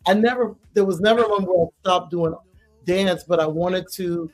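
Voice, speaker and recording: A woman's voice: on the low side, moderate pace, darkish tone, faint music behind. A woman singer, a guest in an interview, talking chattily about her career and laughing easily. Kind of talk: casual interview talk.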